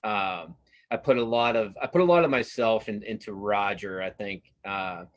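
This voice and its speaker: Low-voiced man chatting casually, haltingly. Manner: halting, rambling, self-correcting with repeated phrases. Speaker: interview guest, cartoonist. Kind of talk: casual interview chat. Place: live online video conversation.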